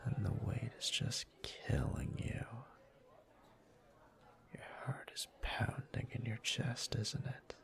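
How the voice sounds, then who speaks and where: A man playing a teasing, dominant bully turned seductive lover in an intimate whispered roleplay audio, murmuring in a slow, smug, breathy way. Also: lowish voice, fairly even intonation.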